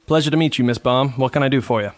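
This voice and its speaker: A man playing a hard-boiled, world-weary police detective in a noir radio drama, terse and deadpan. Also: medium pitch, rapid-fire, dry recording, natural voice. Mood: businesslike.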